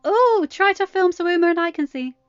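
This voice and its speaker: A woman's voice: very high voice, animated, fairly quick, no echo, lo-fi sound, soft background music, pitched above the performer's own voice. A woman voicing a playful, affectionate teenage daughter in a storybook, teasing and informal.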